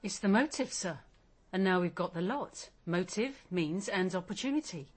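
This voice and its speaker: An actress playing a keen junior police detective in a radio crime drama, direct and questioning. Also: female voice, fairly low pitch, expressive, normal speed. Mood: brisk, puzzled. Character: keen, sharp female police detective, respectful junior officer.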